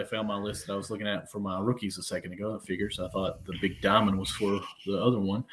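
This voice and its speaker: A man's voice: medium pitch, slight background noise. A man hosting a casual sports podcast, joking and steering the conversation along. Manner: joking, keeps the show moving. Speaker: podcast host.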